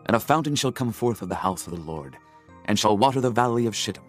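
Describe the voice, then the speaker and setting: Male narrator, dramatized scripture reading, solemn and declamatory, measured phrasing, animated rise and fall, music underneath. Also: fairly low pitch. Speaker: audiobook narrator.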